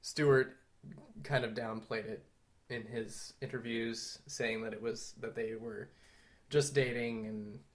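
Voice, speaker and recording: A man with a mid-range voice co-hosts a conversational film history podcast. He explains things in a measured, thoughtful manner, talking through details as he goes. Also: mid-range voice, fairly even intonation. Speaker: podcast co-host.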